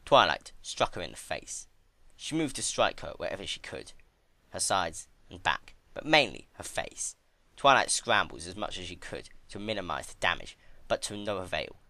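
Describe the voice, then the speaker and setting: A man narrates a piece of dark fan fiction as a dramatic reading. His voice sits fairly low but has a forceful, bright edge, and the pitch moves in lively swings. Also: normal speed, gravelly, no echo.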